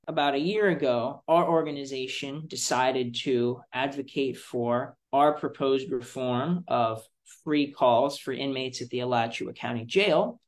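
Young American male, student advocate in an interview, medium pitch, careful and emphatic explainer. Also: a little slow, hardly any pauses. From Florida, United States. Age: young adult.

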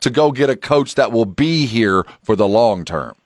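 Male sports radio host, animated intonation, middle pitch, builds long questions, close dry studio. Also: normal speed, no echo. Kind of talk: sports talk interviewing.

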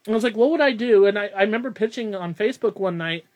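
A man's voice: high voice, rapid-fire. A young man hosting a casual podcast, rambling and self-deprecating, laughing often. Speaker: podcast host.

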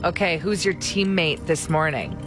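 A woman's voice: deep voice, moderate pace, over music. A woman co-hosting a morning radio show, teasing her co-host and cheering on a caller during a game. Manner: encouraging, playful teasing.

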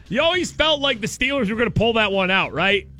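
A man co-hosting a morning radio show in casual, joking banter, with a sore throat and a voice that is going. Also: high voice. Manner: excitable, sarcastic, joking.

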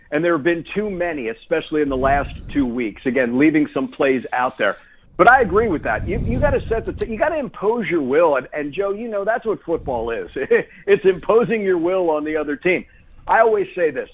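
A man's voice: a little high, phone-quality sound. A man who works as a play-by-play announcer, talking conversationally and emphatically as a guest on sports radio, repeating phrases for stress. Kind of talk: sports talk radio interview.

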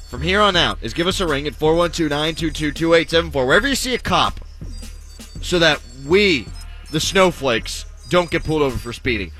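A man's voice: on the high side, pushed and bright. A man hosting a sports talk radio show, joking and wry in his delivery.

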